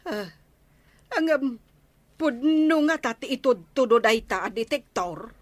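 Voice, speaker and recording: A woman's voice: a little high, animated, measured pace, no echo. A woman with an Ilocano Filipino accent playing a doubtful, questioning villager in a radio comedy drama. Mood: skeptical.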